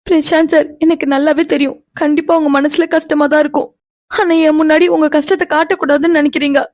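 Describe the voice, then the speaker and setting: A woman's voice: high-pitched, level tone, quick pace, close to an everyday voice. A woman playing an anxious, caring young woman in an emotional audio drama, pleading and fussing earnestly. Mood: apologetic, sad.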